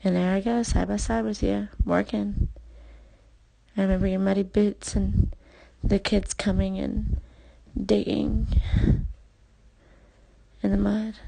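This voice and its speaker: Husky, creaky-voiced woman recounting memories. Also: medium pitch, dark tone, rough recording. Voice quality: husky, creaky, often dropping to a breathy near-whisper. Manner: halting, reflective, confessional. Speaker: personal storyteller addressing a former partner. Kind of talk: intimate spoken memoir, unscripted.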